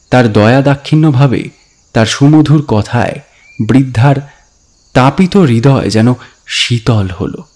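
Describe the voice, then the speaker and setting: A man narrating a scripted story dramatically, in a deliberate, expressive storytelling manner. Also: mid-range voice. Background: background music.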